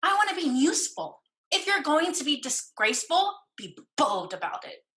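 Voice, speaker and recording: A woman's voice: sits high, big pitch swings, normal speed, pushed and bright, full character voice. A woman acting in a stage play reading as a devoted, cautious sister who blames herself. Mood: teasing, affectionate. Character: anxious, devoted, limping sister, cautious and self-blaming.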